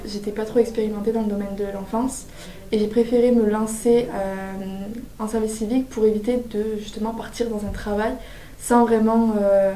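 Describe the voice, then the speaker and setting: A woman's voice: medium pitch, flat delivery, moderate pace, dark tone. An eighteen-year-old woman, a civic service volunteer, speaking hesitantly and repeating herself. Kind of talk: personal testimonial. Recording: roomy distant recording.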